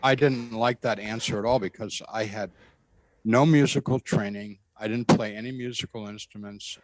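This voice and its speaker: A man with a bass voice, a guest earnestly recounting a spiritual experience in conversation, often repeating himself. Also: no room sound, rough recording. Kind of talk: conversational storytelling.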